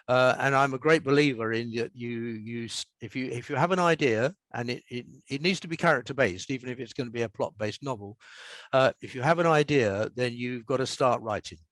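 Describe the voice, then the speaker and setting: Older man, low-key dry studio sound. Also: fairly bright tone, dry recording. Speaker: guest author and scriptwriter. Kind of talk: reflective interview talk, with a short reading from own prose.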